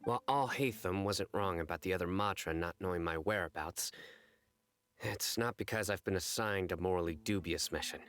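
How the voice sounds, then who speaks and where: Deep, stern anime general. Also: male voice, fairly bright tone, no echo. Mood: grave. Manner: formal, measured, grave. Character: stern, solemn male desert general and judge. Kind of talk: anime game voice acting.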